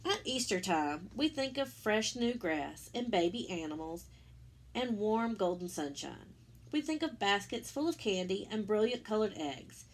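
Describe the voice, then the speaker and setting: Female story-time teacher, medium pitch, a touch of rasp, reads a children's religious book and explains things simply along the way. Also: expressive, a little slow. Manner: simple explanatory asides addressed to young listeners. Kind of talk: read-aloud of a children's religious picture book with asides.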